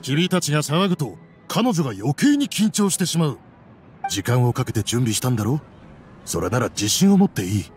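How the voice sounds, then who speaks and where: 男性が演じる、ゲームの劇場支配人。仲間を守る実直な人物で、落ち着いた毅然とした話し方。. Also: male voice, mid-range voice, very animated, moderate pace, darkish tone, soft background music, natural voice. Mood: calmly reassuring. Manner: composed, firm, formal. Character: principled, protective male theater manager.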